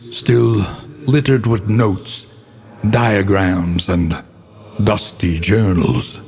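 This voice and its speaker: An actor playing a grave, brooding older scholar who narrates a gothic game in a solemn, portentous, theatrical way. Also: male voice, fairly low pitch. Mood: brooding, ominous. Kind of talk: scripted dramatic game narration. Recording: long cavernous reverb on voice.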